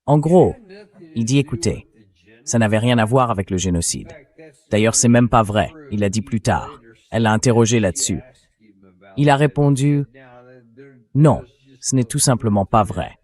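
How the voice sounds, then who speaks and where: A man's voice: fairly low pitch, a little mellow. A man's synthetic voice reading a translated voice-over as the host of a political discussion show.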